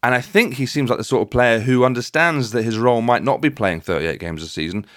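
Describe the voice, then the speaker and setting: A man's voice: medium pitch, fairly quick, fairly bright tone, no room sound, clean studio sound. A man hosting a casual football discussion, making emphatic points and repeating phrases to build them up. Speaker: football podcast host.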